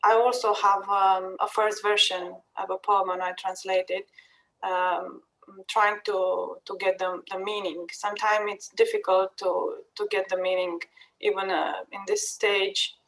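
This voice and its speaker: A woman explaining their work as a translator in a panel discussion, haltingly and with many pauses. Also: medium pitch, flat delivery, vocal fry, a bit bright, rough recording. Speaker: poetry translator.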